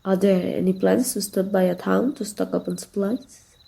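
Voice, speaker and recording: Mellow, teasing villainess played by a woman. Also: medium pitch, fairly even intonation, moderate pace, gravelly. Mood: flirtatious, intimate. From Mexico. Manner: teasing, intimate, assured. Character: flirtatious, confident female villain secretly fond of her rival. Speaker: amateur voice actor. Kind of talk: ASMR roleplay, soft intimate acting.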